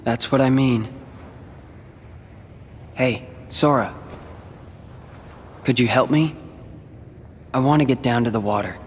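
A brooding American teenage warrior in a video game cutscene, voiced by a man. He speaks in a measured, world-weary way at a medium pitch. Faint ambient noise sits behind the voice. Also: close to an everyday voice. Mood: reflective, confiding. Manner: subdued, reflective, measured.

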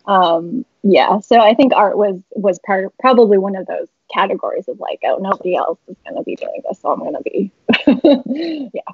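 Young woman guest, faintly husky, rambling and laughing, muffled call audio, dry home room. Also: medium pitch, lively intonation, normal speed, dry recording. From San Francisco Bay Area. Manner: rambling, many fillers and laughs. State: slightly raspy voice. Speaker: interview guest, publisher. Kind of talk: casual video-call interview. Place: home room with shelves, indoors. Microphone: laptop webcam video call.

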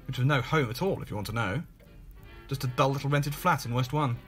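A man playing a bored, world-weary gentleman in his thirties, narrating a homemade radio play in a wry, clipped way. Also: mid-range voice, fairly quick, faint music behind, voice pushed down, lightly acted.

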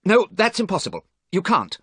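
A man voicing a vain, callous young gentleman with a haughty, emphatic, theatrical manner in an audiobook story. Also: lowish voice, wildly swooping pitch, a little slow, no echo, studio quality, voice pushed up, full character voice. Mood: alarmed, commanding.

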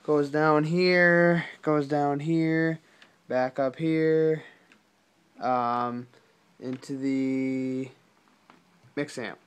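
A young man talking casually and haltingly to viewers, as in an informal gaming vlog. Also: on the high side, steady tone, clear, resonant tone. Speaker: gaming video creator. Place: small indoor room at a desk.

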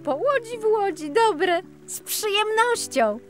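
A woman voicing an excitable, cheerful eight-year-old girl in a children's audiobook, bubbly and wide-eyed. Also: extremely high pitch, takes it slowly, soft background music, voice pushed up, heavily acted. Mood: amused, delighted.

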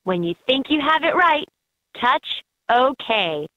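A woman reading scripted educational narration for a children's game in an expressive, instructive way. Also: high-pitched, slow, no room sound. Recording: slightly dull, lo-fi game audio.

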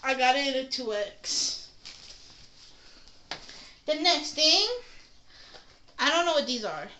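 Young female haul vlogger, bright forward voice, casual and playful, slightly noisy room recording. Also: high voice, lively intonation, slow pace. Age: young adult. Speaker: vlogger showing a shopping haul. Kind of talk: casual unboxing chat. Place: small indoor room. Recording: noisy room with handling clicks.

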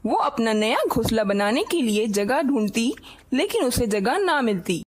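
A woman's voice: a little high, big pitch swings, a little slow. A woman narrating a scripted children's story as its storyteller.

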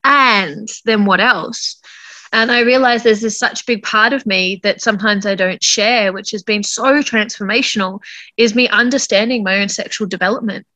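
A young woman, talking reflectively to camera in a casual vlog, with many likes and lively hand gestures. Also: mid-range voice, moderate pace, dry recording, poor audio. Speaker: vlogger.

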